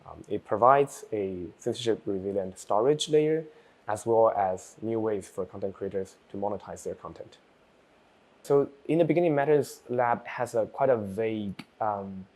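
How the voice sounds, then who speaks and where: Low-voiced man. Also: a little mellow. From China. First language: Chinese. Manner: thoughtful, with frequent pauses and um fillers. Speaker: developer and researcher. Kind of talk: personal project presentation.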